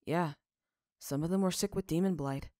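A woman voicing a gentle, weary, earnest father in a story reading, speaking in a measured way. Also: fairly low pitch, no echo, clean studio sound, lightly acted.

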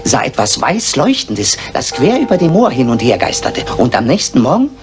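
A man's voice: medium pitch, huge pitch swings, moderate pace, music in the background. An actor playing an uneasy, superstitious village man, earnest and spooked, in a German radio drama.

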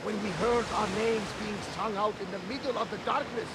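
An actor playing a gruff older sea captain, spinning a yarn about a lost friend. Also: male voice, high-pitched, steady tone, a little fast, natural voice. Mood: wistful. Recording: game audio with loud sea noise. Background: waves and wind.

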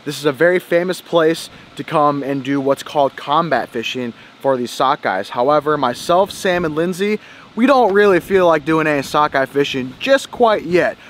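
A man's voice: a little high, expressive, moderate pace, a little hiss or hum. A man in his thirties hosting a fishing vlog outdoors, talking to a handheld camera at arm's length in an upbeat, casual way. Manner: upbeat, addresses viewers as guys. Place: outdoor parking lot by a river trail.

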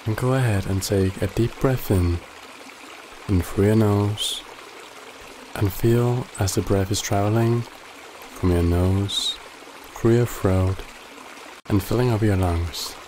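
A man guiding a body scan meditation in a calm, gently instructive way with long pauses. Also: on the low side, background noise. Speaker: meditation guide. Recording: loud running water behind the voice. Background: trickling stream water ambience.

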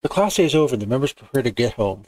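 A man's voice: mid-range voice, big pitch swings, racing, darkish tone, no echo. A man hosting a game playthrough, reading visual novel text aloud and adding dry, joking commentary. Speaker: gaming video host.

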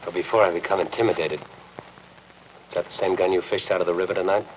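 A hard-boiled, world-weary newspaper reporter played by a man, low-voiced and dry. He talks with sardonic persistence in 1950s noir style, over the faint noise of an old film soundtrack. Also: steady tone, fairly quick, lightly acted, poor audio. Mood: dry, composed. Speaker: actor playing a newspaper reporter. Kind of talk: 1950s film noir television drama acting.

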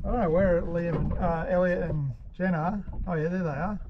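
A man's voice: high voice, fairly even intonation, moderate pace. Man fishing from a boat, windy. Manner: chatty, coaching, hushed asides. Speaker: fishing vlogger. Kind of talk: casual fishing commentary. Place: small open boat on the water outdoors. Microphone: action camera on boat. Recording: muffled with loud wind and water noise.

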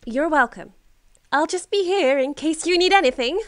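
High-voiced, nosy maid. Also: female voice, expressive, normal speed, dry recording, clean studio sound. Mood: cheeky, eager. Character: nosy, cheeky female servant. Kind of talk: period audio drama acting.

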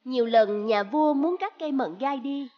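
A woman's voice: high-pitched, moderate pace, lo-fi sound. A woman giving scripted narration of a fairy tale as its storyteller.

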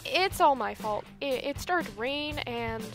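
A woman's voice: sits high, lively intonation, a little slow, a bit bright, soft background music, heavily acted. A woman playing an insecure, moody teenage girl who runs herself down, in a comic fan dub. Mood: anxious.

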